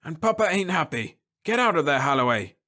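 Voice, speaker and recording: A man doing a gruff, cursing space captain. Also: fairly high pitch, sing-song, brisk, sharp tone. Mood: barking orders. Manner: barking, swearing orders. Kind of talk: dramatic story reading.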